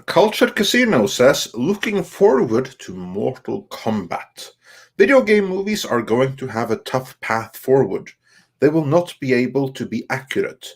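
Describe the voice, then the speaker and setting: Slow-paced man reading aloud. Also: medium pitch, slightly rough. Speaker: co-host reading viewer messages. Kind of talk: live stream chat, reading comments aloud.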